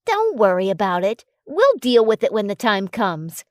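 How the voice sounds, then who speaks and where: A woman's voice: mid-range voice, very animated, normal speed, no room sound, clean studio sound, voice pushed down, lightly acted. A woman voicing a caring, cheerful young female best friend in a romance story. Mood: reassuring.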